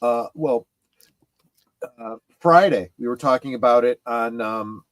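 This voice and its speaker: A man giving opinions in a casual panel chat, emphatic and deliberate, halting between phrases. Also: mid-range voice, dry recording. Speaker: guest co-panelist on a fan show.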